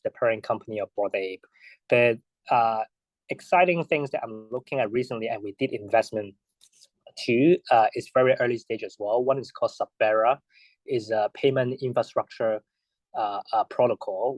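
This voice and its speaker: A man in his thirties from Hong Kong, a guest answering interview questions in a halting way with many restarts and fillers. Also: fairly low pitch, no room sound, low-quality recording. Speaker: guest, investor.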